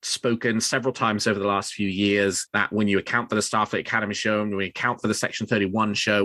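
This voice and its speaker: A man's voice: on the low side, bright, forceful tone, dry recording. A man hosting a fan news podcast, talking at length in wordy, discursive sentences. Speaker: podcast host.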